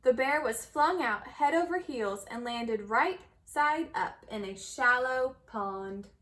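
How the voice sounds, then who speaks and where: A woman reading a children's story aloud in an expressive storytelling manner. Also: a little high, slow. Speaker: storybook reader.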